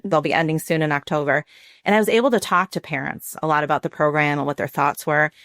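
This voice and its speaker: A woman's voice: on the low side, no echo, clean studio sound. An American woman speaking as a podcast guest, explaining things conversationally with frequent small asides. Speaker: podcast guest, library program director.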